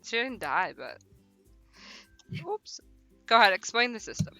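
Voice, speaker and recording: A woman's voice: medium pitch, sing-song, measured pace, pushed and bright, lo-fi sound, soft background music. A playful, giggly woman streaming a game, chatting casually and reading text aloud. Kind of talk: casual live let's-play chat and read-aloud.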